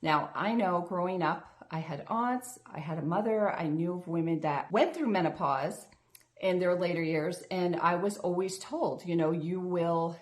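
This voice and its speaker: A woman's voice: lowish voice, moderate pace. A woman in her fifties, a health coach hosting her own vlog, talking earnestly and chattily to the camera with frequent restarts. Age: 50s.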